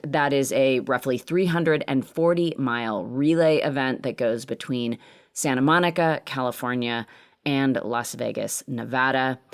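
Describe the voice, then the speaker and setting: Low-voiced woman hosting a podcast, lively. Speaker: podcast host and producer. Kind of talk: scripted podcast introduction.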